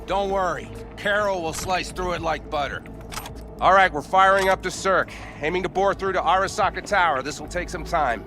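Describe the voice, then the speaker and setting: A man's voice: high voice, moderate pace, sharp tone, soft background music. A man playing a focused, practical nomad technician in a video game, talking reassuringly. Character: focused, can-do nomad tech man in battle. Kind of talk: dramatic video game acting.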